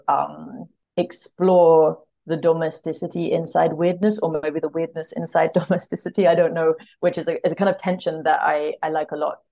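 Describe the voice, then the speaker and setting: A woman with a low voice and plenty of vocal fry talks as a podcast guest. She is conversational and thoughtful, feeling her way through each point and rephrasing as she goes. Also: dark tone. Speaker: podcast guest, literary translator. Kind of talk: casual interview chat about books.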